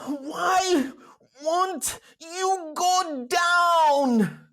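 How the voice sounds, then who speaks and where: A woman voicing a cocky, boastful young boy adventurer in an animated series, with a brash manner. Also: very high-pitched, extremely slow, full character voice. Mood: urgent, straining. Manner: brash, bragging. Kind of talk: animated series table read.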